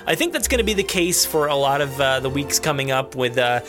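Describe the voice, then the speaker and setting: A man's voice: mid-range voice, expressive, brisk, music in the background. A man with a New Jersey accent hosting a podcast in a casual, rambling, jokey and self-deprecating way. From New Jersey, USA. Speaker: podcast host.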